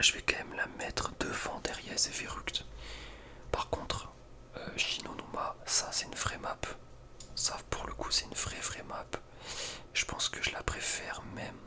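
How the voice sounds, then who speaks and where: A young man whispering casual ASMR gaming commentary in an informal, slangy, hesitant way. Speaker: ASMR video creator.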